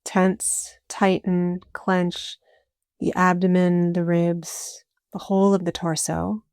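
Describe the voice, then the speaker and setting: A woman leads a guided relaxation at a very slow pace. Her voice sits a little low, with plenty of creak at the edges. She gives calm, soothing instructions in short phrases and leaves space between them. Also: dry recording, clean studio sound. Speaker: yoga nidra teacher.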